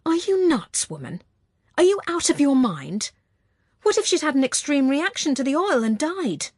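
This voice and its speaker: A woman's voice: high voice, big pitch swings, moderate pace, no echo, studio quality, voice pushed up. A woman voicing a blunt, exasperated, protective man in an audiobook, confrontational and indignant in manner. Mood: incredulous.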